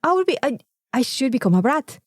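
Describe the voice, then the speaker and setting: A Mexican woman with a native Spanish background, co-hosting a podcast in casual, comedic chat with a playful, sweary, animated storytelling manner. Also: high voice, a little fast, breathy edge, no room sound, clean studio sound. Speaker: podcast co-host.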